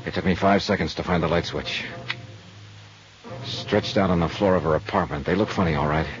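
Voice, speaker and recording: A hard-boiled male investigator played by an actor from vintage radio drama. He is low-voiced, terse and businesslike. The recording is dull and old, with prominent music. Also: a little fast, close to an everyday voice. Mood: terse, matter-of-fact. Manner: terse, matter-of-fact. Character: hard-boiled, no-nonsense male insurance investigator narrating cases. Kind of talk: 1950s radio detective acting and narration.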